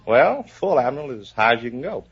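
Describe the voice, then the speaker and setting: A man's voice: mid-range voice, very animated, fast, crowd noise. A man, a navy sailor, giving plainspoken, folksy answers as a contestant on an old 1950s radio show. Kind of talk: casual interview answers. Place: studio in front of audience. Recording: noisy muffled 1950s radio broadcast recording.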